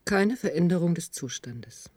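An actress playing a sober, reserved medical expert with a restrained, clinical manner in a radio drama. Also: female voice, fairly low pitch, expressive, moderate pace, darkish tone, dry recording. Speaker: actor in a radio play. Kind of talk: German radio drama acting.

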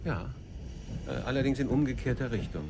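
A man's voice: medium pitch, fairly quick, music in the background, natural voice. An actor playing a jealous, besotted middle-aged man on his honeymoon in a radio drama, reproachful and dryly sarcastic. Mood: irritated.

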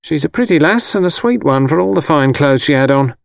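A man's voice: on the high side, lively intonation, fairly quick, vocal fry, dry recording, studio quality, voice pushed up. A man voicing a stern, grey-haired working-class mother, blunt and disapproving, in an audiobook story. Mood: blunt, knowing. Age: older. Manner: blunt, disapproving, dialect turns of phrase.

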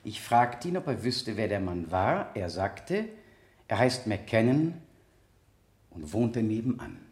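Male examiner, radio drama. Methodical, insistent, courteous, with animated pitch in a roomy acoustic. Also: exaggerated voice. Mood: probing, polite. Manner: deliberate, insistent, formally polite questioning. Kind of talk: 1970 German radio play acting.

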